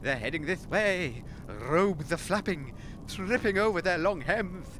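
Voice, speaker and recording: An actor playing an eccentric, gallant inventor in an audio comedy drama, grave and theatrical, declaiming dramatically. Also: male voice, sits high, lively intonation, unhurried, a bit bright, slight background noise. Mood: grave, urgent.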